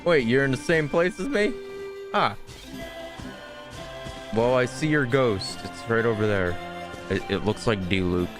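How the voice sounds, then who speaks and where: A man's voice: medium pitch, unhurried, pauses often. A young man live-streaming a game with casual, offhand, rambling commentary and some swearing. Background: game music.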